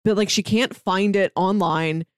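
A woman's voice: medium pitch, steady tone, unhurried, dry recording. Casual woman podcaster, close dry sound. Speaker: podcast co-host. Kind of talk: casual chat recapping a TV show.

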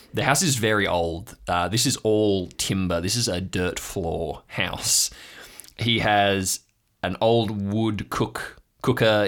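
Man, lowish voice, game master narration, descriptive, halting, improvised audio drama. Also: a bit bright.

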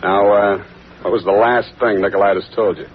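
An American actor playing a tough, wisecracking private detective, clipped and deadpan, in 1940s radio style. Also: male voice, fairly low pitch, normal speed, slight background noise, lightly acted. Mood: dry, businesslike. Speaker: lead actor and narrator. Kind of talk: 1940s radio detective acting, hard-boiled narration. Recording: muffled old radio broadcast, loud music.

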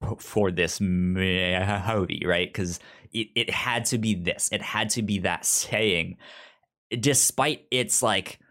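A man's voice: deep voice, slow pace, pushed and bright. A young man co-hosting a podcast in casual review chat, stuttering and restarting words often. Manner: heavy stutter with many repeated word starts. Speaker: podcast co-host.

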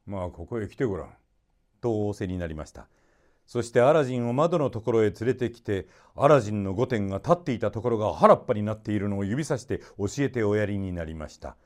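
A man narrating a children's story expressively, in a polite and measured storytelling manner. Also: fairly low pitch, lively intonation.

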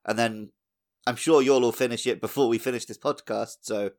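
A man's voice: mid-range voice, steady tone, normal speed. Man in his thirties chatting casually. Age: 30s. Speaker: podcast co-host. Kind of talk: casual comedic gaming podcast chat.

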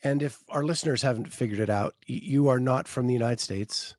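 A podcast host asking interview questions in a jokey, relaxed way. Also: medium pitch, brisk, no room sound, clean studio sound.

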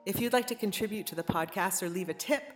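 A woman's voice: mid-range voice, quick pace, faint music behind. A woman presenting a theatre podcast's credits, reading scripted thanks and announcements.

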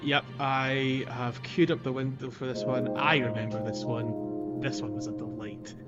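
A man with a mid-pitched voice chats casually as co-host of a gaming podcast. His microphone sound is a little dull and lo-fi. The delivery is conversational and unscripted. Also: flat delivery, a little slow, loud music behind the voice.

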